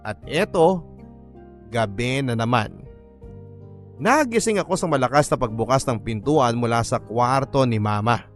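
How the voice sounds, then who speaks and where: Filipino man narrating over soft music. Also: mid-range voice, lively intonation, a little slow, pauses often. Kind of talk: scripted first-person story narration.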